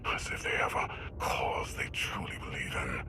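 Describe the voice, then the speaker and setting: An actor playing a brooding, commanding young emperor who drops to a hush and barks orders. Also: male voice, extremely low pitch, moderate pace, in a whisper. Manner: hushed, then barking orders.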